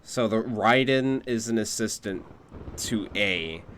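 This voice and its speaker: A man's voice: on the low side, slow, fairly bright tone, a little hiss or hum. A man streaming a video game, giving casual live commentary and musing aloud. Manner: muses aloud, repeats phrases, casual swearing.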